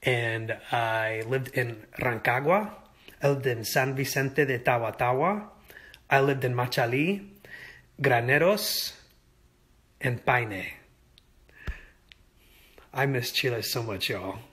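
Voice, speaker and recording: A man's voice: medium pitch, husky. A young man in casual livestream chat from home, talking easygoing and rambling into a phone held at arm's length. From United States. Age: young adult. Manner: easygoing, rambling, slow-paced, lively. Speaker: singer answering fan questions. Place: indoors at home.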